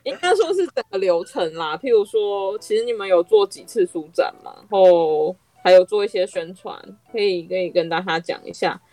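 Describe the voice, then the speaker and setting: A woman's voice: medium pitch, lively intonation, normal speed, hardly any pauses, dark tone, dry recording, soft background music. A woman with a Taiwanese Mandarin accent chatting casually as a podcast host.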